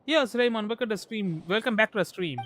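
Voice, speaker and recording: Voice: mid-range voice, expressive, fast, a bit bright, slight background noise. A livestreamer in casual gameplay chat, rambling to themselves and to viewers. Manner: rambling, self-talking, addresses viewers. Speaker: livestreamer playing a video game. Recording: game music behind.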